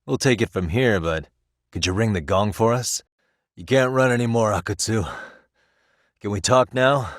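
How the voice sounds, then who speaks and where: A dogged, cool-headed male private detective from a video game, played by an actor, with a low voice. He talks in a dry, conversational way with streetwise confidence. The sound is tight and free of room echo. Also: normal speed, long pauses, natural voice. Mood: pressing, tough. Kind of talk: English video game voice acting.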